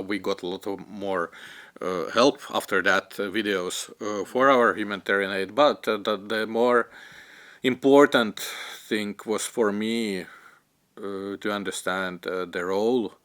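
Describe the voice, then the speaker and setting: A young man who grew up in Finland with Ukrainian as his first language, speaking haltingly in a documentary interview with many fillers and restarts as he searches for words. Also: on the low side, a bit bright, clear, resonant tone. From Ukraine, raised in Finland. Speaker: interviewee, cellist and filmmaker.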